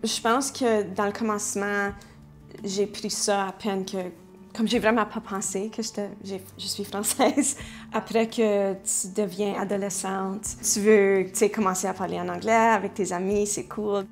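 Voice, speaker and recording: Flat-toned woman with a gravelly edge. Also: medium pitch, unhurried, faint music behind. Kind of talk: documentary interview.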